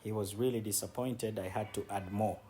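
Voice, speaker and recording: A man's voice: fairly low pitch, steady tone, a little fast, warm tone. A man giving casual, conversational travel commentary as he guides viewers around, often repeating words for emphasis. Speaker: travel vlogger and city guide. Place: outdoors on city streets. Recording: background noise, outdoor street ambience.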